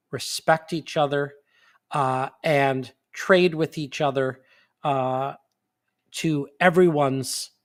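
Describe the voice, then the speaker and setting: A man hosting a monthly live stream in casual chat, sniffly, rambling with fillers and restarts. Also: fairly high pitch.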